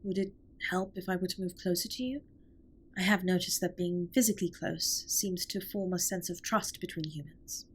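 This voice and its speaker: A woman playing a formal, caring alien spaceship captain in an intimate audio drama, speaking in a measured, precise way with a breathy, husky voice. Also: on the low side, slight background noise, close to an everyday voice. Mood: gentle, attentive. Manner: formal, measured, precise wording with few contractions. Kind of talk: scripted roleplay audio drama, intimate comfort monologue.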